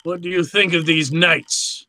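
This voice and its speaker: An actor playing a courtly, gloating villain in a 1940s-style radio drama, with a florid, pompous, theatrical delivery. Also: male voice, fairly high pitch, steady tone, measured pace, sharp tone. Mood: relishing. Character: courtly, gloating, verbose male villain, obsessive collector.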